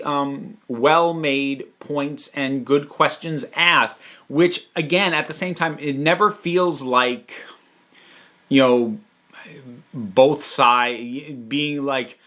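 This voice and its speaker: A man hosting a casual, unscripted review, talking emphatically and thinking aloud with halting, digressive phrasing. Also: a little high, sharp tone. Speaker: host reviewing audio dramas.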